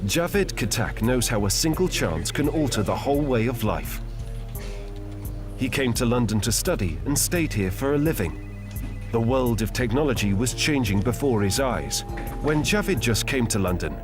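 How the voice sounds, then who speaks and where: A man reads documentary narration in a steady, explanatory way at a middle pitch. His studio voice-over sits over a clear music bed. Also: normal speed. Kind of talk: scripted narration.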